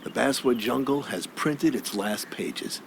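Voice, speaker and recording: An actor playing a courtly, weary old newspaperman in a video game, speaking in a measured, avuncular way with florid phrasing. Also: mid-range voice, vocal fry, slight background noise. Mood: fond, reflective.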